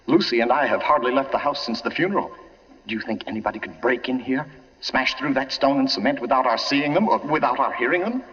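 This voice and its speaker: A man's voice: medium pitch, quick pace, a bit bright, breathy edge. An actor playing an earnest, anguished man who urgently presses his case, in 1940s radio drama style. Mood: alarmed, insistent. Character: anguished man suspecting poison in his uncle's death. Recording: muffled 1940s radio broadcast recording.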